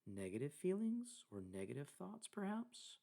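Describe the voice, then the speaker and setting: A man leading a guided meditation, speaking deliberately in short phrases with pauses between them. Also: a little high, huge pitch swings, gravelly, warm tone. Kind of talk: guided meditation narration with scripture reading.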